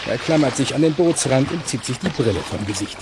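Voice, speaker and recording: A man narrating a children's audio play in a measured, suspenseful storytelling manner with clear articulation. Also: medium pitch, animated, background noise, lightly acted. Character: suspense-building male storyteller.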